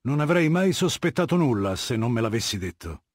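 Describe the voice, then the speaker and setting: A husky, ruthless warlord. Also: male voice, medium pitch, sing-song, dry recording, clean studio sound. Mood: cold menace. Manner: imperious, measured, menacing. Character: commanding, ruthless middle-aged male warlord dictator. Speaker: video game voice actor. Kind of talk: dubbed video game dialogue.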